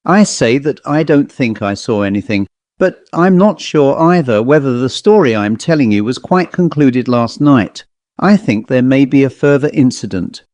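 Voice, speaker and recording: A man voicing a calm, philosophical gentleman who recounts an uncanny experience in deliberate, articulate reasoning. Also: mid-range voice, no room sound, natural voice. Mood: watchful, tranquil.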